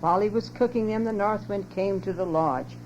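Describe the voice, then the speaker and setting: A woman's voice: medium pitch, a little fast. An older woman expressively reading a children's story aloud as a narrator. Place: home tape recording. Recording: lo-fi muffled home cassette, loud hum.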